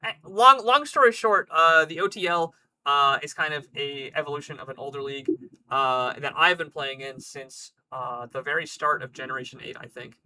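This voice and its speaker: A man hosting a live stream, slightly hoarse, talking casually and thoughtfully with many fillers. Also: a little high, moderate pace, pushed and bright, low-quality recording. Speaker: stream host.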